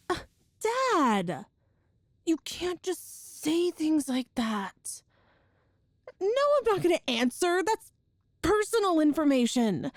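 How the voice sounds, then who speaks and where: A woman acting a nervous, tender young girlfriend in an ASMR audio roleplay, speaking haltingly and earnestly. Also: high-pitched, a little mellow, no echo, clean studio sound, exaggerated voice. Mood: flustered, scolding. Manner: hesitant, halting, earnest, breathy sighs and nervous laughs.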